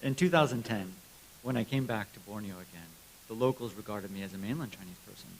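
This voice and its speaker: Gravelly man reading aloud over hiss. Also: on the low side, quick pace, poor audio. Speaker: interpreter reading an English translation. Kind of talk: prepared speech read aloud. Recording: clear background hiss and noise.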